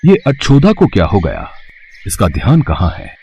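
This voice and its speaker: A man's voice: lowish voice, wildly swooping pitch, normal speed, vocal fry, darkish tone, slight background noise, exaggerated voice. A man playing a stern yet benevolent divine forefather spirit in a devotional cartoon story, speaking in formal, solemn pronouncements. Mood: puzzled, calling.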